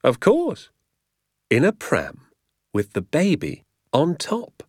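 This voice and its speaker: A man voicing a tiny, excitable village doctor in a storybook, gushing in drawn-out exclamations. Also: fairly high pitch, no room sound, clean studio sound, pitched above the performer's own voice, heavily acted. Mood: delighted. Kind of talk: storybook character voice.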